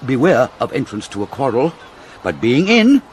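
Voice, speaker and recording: A man's voice: medium pitch, sing-song, moderate pace, slight background noise, natural voice. An actor with a British accent playing a pompous, fussy older father and counsellor, lecturing in classical Shakespearean style. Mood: bustling, urging. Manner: declamatory, sententious, lecturing.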